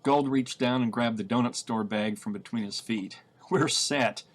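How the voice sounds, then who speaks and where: A man's voice: medium pitch, fairly even intonation, normal speed. Man reading his own fiction aloud. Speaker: author reading from own novel. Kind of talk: dramatic audiobook-style reading.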